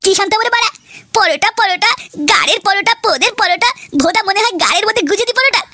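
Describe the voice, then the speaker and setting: A very high, pitched-up cartoon voice of a foul-mouthed young man. He rants and swears at great speed, sharp and bright, always fed up and ready to boil over. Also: animated, fast talker, dry recording, clean studio sound, heavily acted. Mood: furious ranting. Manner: ranting, swearing constantly. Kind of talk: comedy cartoon dialogue.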